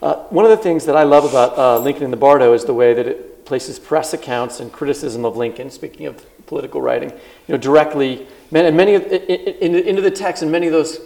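A man co-hosting a live interview on a festival stage, his speech quick and rushing. There's a gravelly fry through his voice, and his questions come in a chatty, self-deprecating way. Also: fairly high pitch, darkish tone. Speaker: podcast co-host. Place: live event at a book festival.

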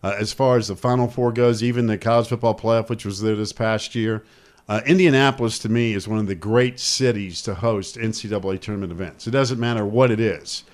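A man's voice: on the low side, fairly even intonation, brisk. A man hosting a sports talk radio show, chatting with a co-host and taking phone calls in an emphatic, repetitive way. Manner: chatty, emphatic, repeats himself.

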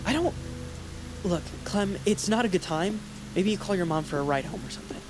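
A man's voice: high-pitched, lively intonation, fairly quick, music in the background, low-quality recording. A man playing an uneasy teenage boy in an audio drama, hesitant and awkward, trying to keep the peace. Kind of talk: audio fiction drama acting.

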